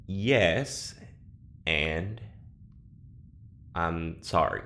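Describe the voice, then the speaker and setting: An actor playing a guarded, awkward, reluctant male test subject in an audio drama, speaking in a halting, subdued way. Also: low voice, a bit bright, heavily acted. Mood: reluctant, apologetic. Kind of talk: naturalistic audio drama acting.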